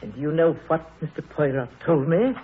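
An actress playing a chatty, effusive village spinster, gushing and gossipy, in a 1930s radio drama. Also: female voice, deep voice, moderate pace, slightly rough. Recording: tinny, noisy 1930s radio recording.

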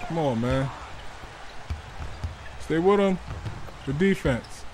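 A man's voice: a little high, lively intonation, darkish tone. A man role-playing a mic'd-up basketball player, calling out short hyped commands to his teammates over in-game chatter. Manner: hyped, urging teammates with quick short calls. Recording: noisy game audio underneath.

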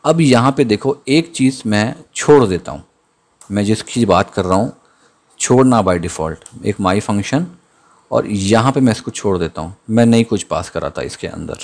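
A man giving a screen-recorded coding lesson, thinking aloud as he types and slowing down to read out the code. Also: fairly low pitch, a little mellow. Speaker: programming tutor. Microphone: voice-over on screen capture.

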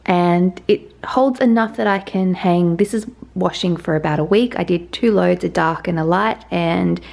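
Chatty Australian woman, slightly hoarse. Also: on the low side, moderate pace, darkish tone. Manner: chatty, scatterbrained, self-mocking. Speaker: lifestyle vlogger. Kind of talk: casual apartment tour vlog. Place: small apartment indoors and balcony. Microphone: handheld camera at arm's length.

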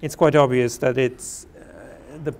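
A man in his forties with a Norwegian accent, a guest answering interview questions in a halting way, with many fillers and restarts, on a lapel mic in a small room. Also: medium pitch, fairly even intonation, vocal fry, a little mellow. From Norway. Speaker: guest anthropologist. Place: small plain room indoors.

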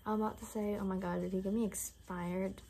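A young woman doing an ASMR roleplay in soft, half-whispered, gossipy rambling chat. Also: mid-range voice, level tone, a little fast, creaky, a little hiss or hum. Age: young adult. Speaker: ASMR performer. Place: small bedroom, indoors.

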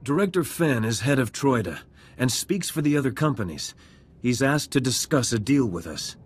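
An actor playing a composed, idealistic resistance politician in a video game, measured and formal. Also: male voice, mid-range voice, expressive, slightly rough. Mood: composed, earnest. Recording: music playing behind the voice.

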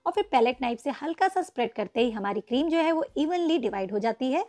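A woman's voice: sits high, animated, fast, darkish tone. A woman narrating a step-by-step cake icing tutorial, patiently instructing viewers and calling them friends. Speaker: cooking channel host. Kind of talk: step-by-step baking tutorial voice-over.

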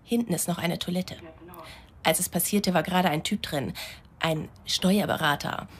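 An actress playing a chatty, vengeful shop clerk who gossips indignantly in a radio drama. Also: female voice, lowish voice, normal speed, close to an everyday voice. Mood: indignant, gossipy.

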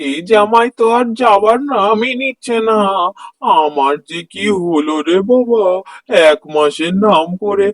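A man's voice: high-pitched, animated, a little slow, no echo. A man voicing a stingy, grumbling older father-in-law in a cartoon. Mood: despairing. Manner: grumbling, complaining. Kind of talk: cartoon voice acting.

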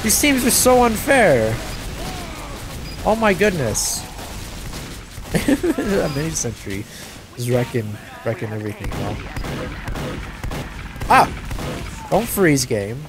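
A young man giving casual gameplay commentary, excitable, yelping and laughing at the action, his voice a little stuffed up. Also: on the high side, slow, long pauses, background noise. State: a bit stuffed up from crying. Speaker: gaming channel host.

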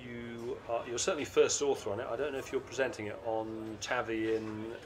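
A middle-aged man hosting a conference interview, asking brisk questions in a conversational way with an uneven pace. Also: medium pitch, level tone, slight background noise. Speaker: interviewer and panel host. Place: seated at a conference booth indoors. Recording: constant conference hall ambience.

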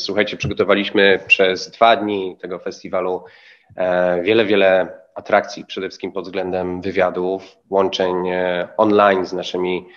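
A man in his thirties co-hosting a live festival stream from a studio, chatty and filling pauses with hesitations. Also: low voice, dull audio. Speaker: festival co-host. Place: studio set in an institute.

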